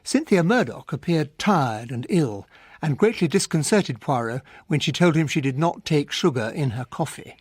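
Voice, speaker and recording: Excitable English gentleman, upper-class accent, husky voice, dry close sound, earnest, radio drama. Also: on the high side, normal speed, no room sound. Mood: reflective narration. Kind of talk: radio drama acting and narration.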